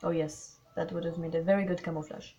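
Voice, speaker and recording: A woman's voice: lowish voice, fairly even intonation, fast, warm tone, soft background music, natural voice. A woman voicing a fussy, smug older male Belgian detective, polite and dropping French words with some fillers.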